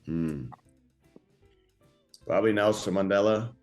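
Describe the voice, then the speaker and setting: A man's voice: fairly low pitch, measured pace, a little mellow, soft background music. A man in his thirties from South Africa, a native Afrikaans speaker with an American accent, chatting informally and hesitantly as an interview guest. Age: 30s. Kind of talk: casual interview chat.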